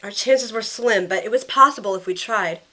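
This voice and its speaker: A woman acts out a caring young heroine telling her own story in an audio drama. It is scripted first-person narration. Her voice has a medium pitch. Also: moderate pace, bright, forceful tone, poor audio. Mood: anxious narration.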